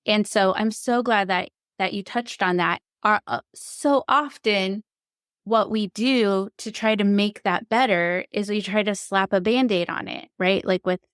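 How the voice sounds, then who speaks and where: A woman's voice: medium pitch, a little slow, clean tone, no room sound, studio quality. A woman hosting a podcast, affirming and empathetic as she asks her guest open questions. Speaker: podcast host. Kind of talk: conversational interview.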